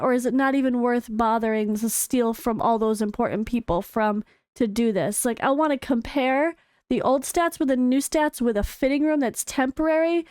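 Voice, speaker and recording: An American woman with a slightly hoarse voice, a gaming content creator chatting excitedly through developer notes. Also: high voice, no room sound, clean studio sound. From United States. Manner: chatty and excitable, with frequent fillers. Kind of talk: casual commentary reading developer notes.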